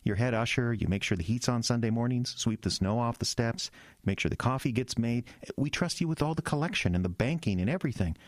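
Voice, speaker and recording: An actor playing an earnest, anxious young small-town pastor in an audio drama, halting and self-deprecating. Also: male voice, medium pitch, dry recording, lightly acted. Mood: nervous, awkward.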